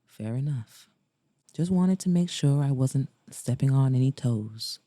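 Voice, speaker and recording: A smooth-talking romantic suitor, voiced by a woman narrator, speaking very low and unhurried. The tone is dark and velvety, soft and sincerely flirtatious, as in a slow-burn audiobook. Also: expressive, dry recording, clean studio sound, heavily acted. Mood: thoughtful.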